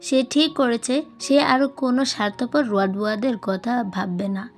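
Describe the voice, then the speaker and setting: Woman reading a novel out loud, audiobook style, kinda high voice, music in the back. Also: steady tone, normal speed. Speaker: audiobook narrator. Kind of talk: scripted novel narration. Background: background music.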